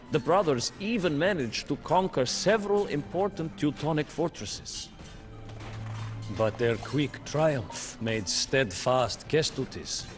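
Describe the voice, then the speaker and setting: A slow, solemn war chronicler. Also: male voice, mid-range voice. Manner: slow, measured storytelling. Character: male captive chronicler telling a war tale. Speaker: campaign narrator. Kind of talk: scripted storybook narration. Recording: studio narration over faint music.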